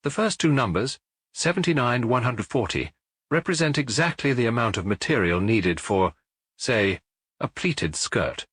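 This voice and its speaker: A man's voice: medium pitch, animated, moderate pace, a bit bright, husky, no echo, studio quality. A man voicing a proud, voluble housewife who explains things eagerly, in a detective audiobook.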